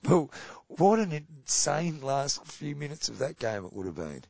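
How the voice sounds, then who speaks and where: A man co-hosting a hockey podcast, running through match results in casual chat with a slightly croaky voice. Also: fairly high pitch, sing-song, highs cut off. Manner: brisk results rundown, trailing off quietly. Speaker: podcast co-host.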